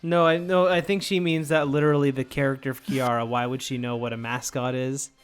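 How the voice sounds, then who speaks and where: A man's voice: medium pitch, little pitch movement, fairly quick. Male co-host, medium register, loose comedic banter with swearing, prominent music bed. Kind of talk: casual comedic group chat. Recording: clear music behind the voice. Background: background music.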